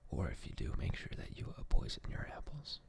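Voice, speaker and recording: A young man hosting an ASMR channel, softly whispering a poetry reading, hesitant and full of fillers. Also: fairly quick.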